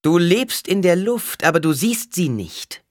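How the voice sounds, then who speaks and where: A man voicing a stern, cryptic prophetess in an audiobook, intoning solemnly with a sharp edge. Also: mid-range voice, lively intonation, a little slow, no echo, studio quality, voice pushed up. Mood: sharp, scornful.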